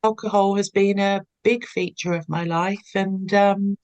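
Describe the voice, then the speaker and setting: A woman's voice: medium pitch, fairly even intonation, measured pace, a little mellow. A woman hosting a podcast, friendly and enthusiastic as she interviews her guest. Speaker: podcast host. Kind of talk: casual interview chat.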